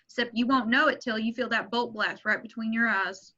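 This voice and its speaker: A stern, blunt grandfather in his sixties lectures with hard-won authority, as a woman reads him. The voice is bright and higher than most, and the audio sounds dull and lo-fi, like a home call. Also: steady tone, brisk. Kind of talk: amateur table read.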